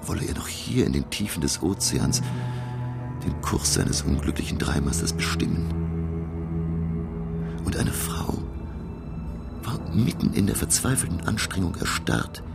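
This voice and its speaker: An actor playing a thoughtful middle-aged professor, narrating his sea voyage in a measured, literary style for a radio drama. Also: low voice, creaky, full character voice. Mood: hushed, haunted.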